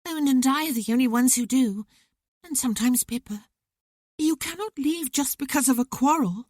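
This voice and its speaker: A narrator voicing a lively young girl who fires off eager questions in a high voice in an audiobook. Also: moderate pace, dry recording, studio quality. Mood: alarmed. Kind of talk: audiobook character voice.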